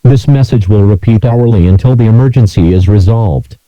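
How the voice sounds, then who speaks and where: A man's synthesized voice reading scripted emergency alert messages in a flat, measured, robotic delivery. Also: lowish voice, lo-fi sound, background noise.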